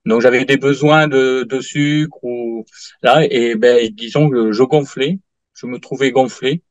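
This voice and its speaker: A man in his late fifties giving a halting testimonial in an interview, full of fillers and restarts. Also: mid-range voice, fairly even intonation, dry recording. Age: 50s. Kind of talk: hesitant interview answers. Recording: dull lo-fi dry sound.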